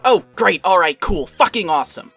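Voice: mid-range voice, lively intonation, moderate pace, creaky, a bit bright, slightly rough, full character voice. An actor voicing an irritable, sarcastic young troll boy who snaps in exasperation in a cartoon comic dub. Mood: exasperated, sarcastic.

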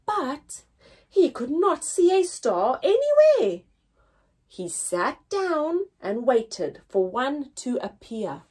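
A young woman reading a picture story aloud for small children, expressively, with deliberate pauses and emphasis and a slightly husky voice. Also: sits high, a little mellow. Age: young adult. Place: indoors, small room with painted backdrop.

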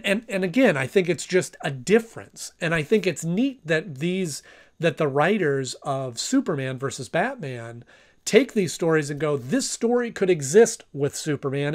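A man in his thirties giving a casual review to camera as host of a video. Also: on the high side. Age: 30s. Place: small home room, indoors.

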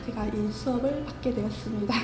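A woman's voice: fairly high pitch, a little fast, reverberant. A woman from North Korea with a North Korean accent, talking earnestly about her speech lessons. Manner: earnest, repeats after the teacher. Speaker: speech student. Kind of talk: interview and pronunciation drills.

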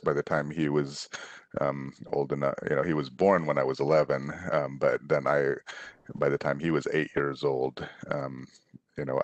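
Very deep-voiced man on a headset. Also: dry recording, highs cut off. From Indonesia. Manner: relaxed, rambling with frequent restarts and fillers. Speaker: author answering students' questions. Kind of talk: casual conversational answers. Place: room at home, on a video call.

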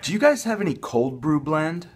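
A young man making a prank phone call from a room at home, asking questions in a deadpan, mock-earnest way. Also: fairly high pitch, moderate pace, slight background noise. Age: young adult. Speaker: prank caller, video host. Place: small furnished room at home, indoors.

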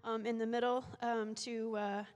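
A middle-aged woman with a cold speaks in a croaky, fairly high voice. She chats openly with many fillers. The church hall adds a long reverb. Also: flat delivery, moderate pace, muffled recording. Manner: chatty, warm-up with fillers. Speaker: guest speaker. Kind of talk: sermon introduction. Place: church sanctuary at a lectern. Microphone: handheld mic.

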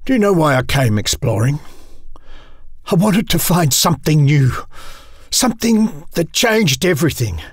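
A man playing a dying, bitter explorer in an audio drama, his strained raspy voice labored and prone to pained outbursts. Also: on the high side, sing-song, moderate pace, no echo, clean studio sound. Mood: weary.

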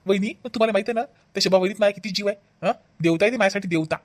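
A hot-tempered cartoon husband played by a woman, quick-spoken and mid-pitched. He accuses and repeats himself melodramatically in a Vidarbha Marathi accent. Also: lightly acted. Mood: defensive. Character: hot-tempered husband devoted to his sister-in-law. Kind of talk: animated family comedy acting.

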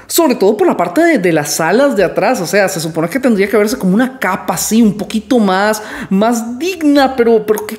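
A man in his twenties with a high voice, talking in a casual, rambling and playfully boastful way in a toy review vlog, recorded at home on a studio mic. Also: a little mellow. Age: 20s. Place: small room at home. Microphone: studio mic on stand in front.

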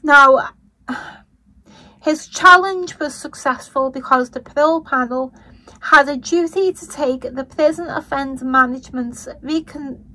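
A woman with a Liverpool accent talks through a news story in a croaky voice. Her voice sits high and bright. She speaks slowly, and her commentary is blunt and indignant, with swearing when she gets angry. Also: dry recording, low-quality recording. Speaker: true-crime commentary vlogger. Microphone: voice-over over still images.

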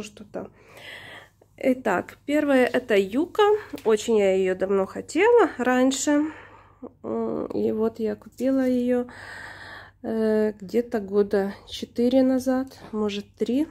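A woman narrating her own home video casually and hesitantly, slightly hoarse from being unwell. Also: mid-range voice, dark tone. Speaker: plant vlogger. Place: indoors, room in a flat. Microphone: handheld camera or phone, behind the camera.

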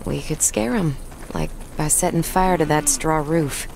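A woman's voice: sits low, measured pace, vocal fry, a little mellow, a little hiss or hum, poor audio. A woman acting a determined, practical young frontier woman in a video game. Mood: businesslike. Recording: music behind the voice.

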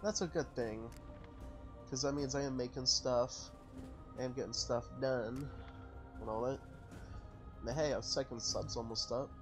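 A man's voice: medium pitch, fairly even intonation, measured pace, long pauses. A man talks over his strategy game in a loose, thinking-aloud way, often stopping to correct his own math. His voice sits in a middle range. Faint game music plays underneath. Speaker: gaming channel host. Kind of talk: casual let's-play commentary.

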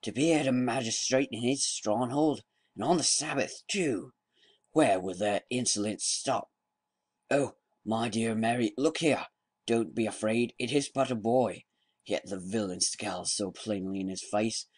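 A man's voice: on the low side, unhurried. A man voicing a pompous, fussy older magistrate, in an audiobook reading.

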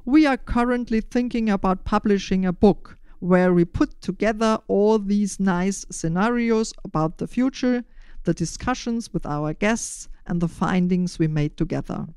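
Older German-accented woman, clean smooth voice. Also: medium pitch, measured pace, a little mellow, no echo, studio quality. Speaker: podcast host and initiator. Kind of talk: prepared, scripted-sounding podcast interview answers.

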